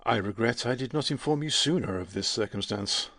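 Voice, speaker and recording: An actor playing a proud, courteous, wounded musketeer with a formal, lordly manner in a dramatic audiobook. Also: male voice, mid-range voice, animated, a little fast, bright, forceful tone, no echo, clean studio sound. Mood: pained, frank.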